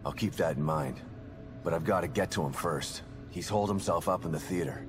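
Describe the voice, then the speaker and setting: A weary but determined father from a video game cutscene, played by a male actor. His voice sits very low, and he talks tersely, with blunt, wry turns. Music is clearly audible underneath. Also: brisk, close to an everyday voice. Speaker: father searching for his daughter in a horror game. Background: background music.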